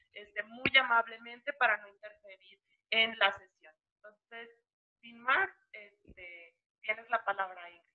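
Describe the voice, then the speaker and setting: A woman moderating a webinar, introducing the session politely and formally with filler words, over a video call. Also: on the high side. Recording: tinny phone-like video call audio.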